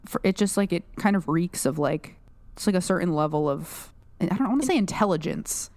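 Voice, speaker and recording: A woman co-hosting a podcast, chatting casually and piling up fillers and restarts as she thinks aloud. Her voice sits on the low side. The recording is dry, with no room sound. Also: expressive, fairly quick, a little mellow, no echo. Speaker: podcast co-host. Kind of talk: casual chat.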